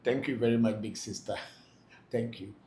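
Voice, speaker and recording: A man's voice: on the low side, moderate pace, warm tone. A man who is a Vodu priest hosting a live question-and-answer session, reading out questions and answering them plainly, often repeating himself for emphasis. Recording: echoey room, faint background noise.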